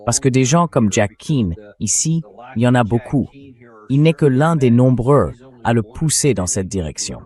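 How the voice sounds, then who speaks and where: A man's voice: medium pitch, sing-song, moderate pace, warm tone, natural voice. A man reading a translated voice-over as the host of a political interview, commenting and leading into questions.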